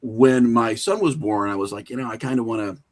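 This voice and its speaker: A middle-aged American man telling stories casually as an interview guest, animated and often acting out imagined lines of dialogue. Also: medium pitch, a little fast. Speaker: podcast guest, independent filmmaker.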